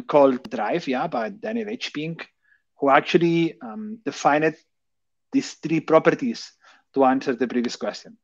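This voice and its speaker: A man's voice: on the high side, no room sound. A man from Barcelona with a Catalan Spanish accent, in his thirties, giving a technical talk over a call with many fillers and rhetorical questions. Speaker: conference speaker. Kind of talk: technical presentation. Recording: dull, dry lo-fi call audio.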